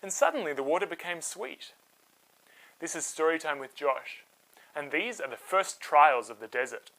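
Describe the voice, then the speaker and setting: Casting: bright, highly expressive children's Bible storyteller, with very lively pitch swings and plain conversational delivery. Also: normal speed, a little hiss or hum, rough recording. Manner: expressive, simple conversational retelling.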